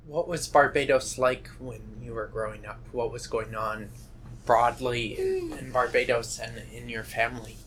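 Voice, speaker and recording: A man's voice: medium pitch, very animated, moderate pace, a bit bright, a little hiss or hum, low-quality recording. A man interviewing, asking short plain questions from away from the microphone.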